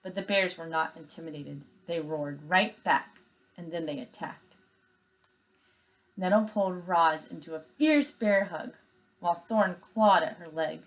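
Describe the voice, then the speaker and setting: A woman's voice: lowish voice, a little slow, rough recording. A woman teacher reading a children's story aloud expressively and giving instructions to students.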